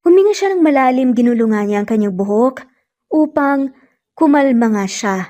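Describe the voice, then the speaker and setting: A woman's voice: sits high, animated, normal speed, mellow. A woman narrating a scripted romantic drama as a storyteller.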